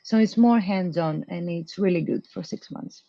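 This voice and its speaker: A woman's voice: fairly low pitch, moderate pace, gravelly, dark tone, no room sound, dull audio. Young woman, hesitant interview answers. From Greece. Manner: loose and hesitant, many fillers and restarts. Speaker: program graduate guest.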